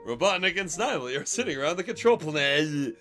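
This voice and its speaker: Male streamer, lively and bright, playful comic story reading over background music. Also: a little high, fast. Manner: playful, breaks into laughter. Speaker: streamer reading fan fiction. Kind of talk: comic dramatic read-aloud on a livestream.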